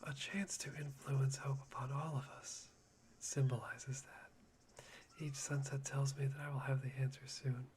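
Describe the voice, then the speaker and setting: A man playing an unsettling, self-deprecating young man obsessed with hope, breathy and theatrical in an anime-style ASMR roleplay. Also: medium pitch, level tone, moderate pace, a little mellow, natural voice. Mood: fervent. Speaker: voice actor in fan roleplay. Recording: clear background noise, insect ambience.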